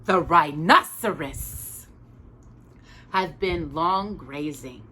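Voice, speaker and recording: A woman's voice: low voice, extremely slow, pushed and bright, husky. A woman reading a children's picture book aloud as a storytime reader, expressively and with small asides to a young listener.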